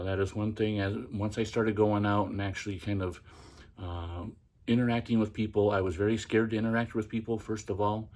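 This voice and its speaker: Guy doing his everyday feminine voice, quiet and soft, pitch pushed up a bit, chatty podcast tone. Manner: speaks in a practiced feminine voice, softened and quiet; pitch raised by tensing the throat. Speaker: podcast host. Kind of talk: casual instructional monologue.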